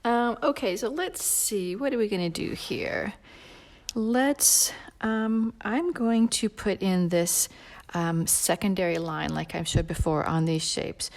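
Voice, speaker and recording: A woman leading a live drawing tutorial in a relaxed, rambling way with many fillers, sometimes dropping to a soft near-whisper as she works. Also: mid-range voice, slow, vocal fry. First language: not Portuguese. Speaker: drawing instructor.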